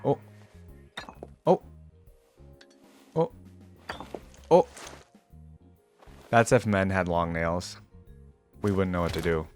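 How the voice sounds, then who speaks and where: A man's voice: low voice, sing-song, slow pace, long pauses. A man hosting a reaction video with casual, off-the-cuff commentary, informal and exclamatory as he thinks aloud. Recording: music and game audio behind voice.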